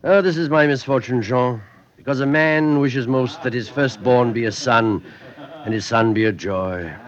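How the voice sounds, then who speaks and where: A man's voice: medium pitch, moderate pace, lightly acted. A man acting a boastful, gullible middle-aged French factory owner in a 1950s radio drama, theatrical and expansive. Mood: expansive, tipsy. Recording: muffled vintage radio recording.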